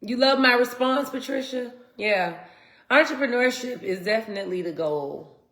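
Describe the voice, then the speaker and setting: A woman's voice: a little high, lively intonation, normal speed, fairly bright tone, echoey room. A congested woman co-hosting a casual live Q&A, reading viewer comments aloud. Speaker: co-host of a live Q&A stream. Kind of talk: casual live-stream chat.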